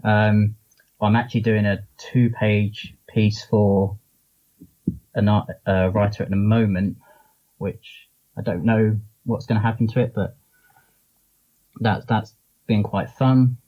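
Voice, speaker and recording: A man's voice: lowish voice, fairly even intonation, takes it slowly, long pauses, muffled recording. A man being interviewed as a guest, talking casually and informally in loose, rambling explanations. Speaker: interview guest, comics charity founder and publisher.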